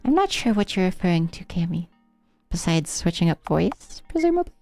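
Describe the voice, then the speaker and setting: An American woman streams a game with casual, musing commentary. Her voice is soft-edged and dark, sitting a little low, with a slight speech impediment and an affected, trained silliness. Faint game music plays behind her. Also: big pitch swings, normal speed. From United States, Rocky Mountain area. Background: video game music.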